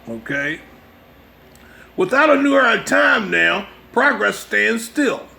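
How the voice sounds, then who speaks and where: A man with a gravelly voice giving an informal religious lecture, part reading and part commentary, emphatic and repeating his phrases to press the point. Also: high voice, sharp tone, a little hiss or hum. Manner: emphatic, repeats phrases, checks listener's understanding. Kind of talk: informal religious lecture mixing read-aloud passages and commentary.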